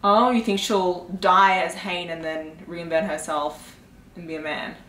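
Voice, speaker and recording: A young adult woman chatting casually about books in an expressive, informal way. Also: lowish voice, measured pace. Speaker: book vlogger. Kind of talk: casual chat. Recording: echoey room, audible background noise.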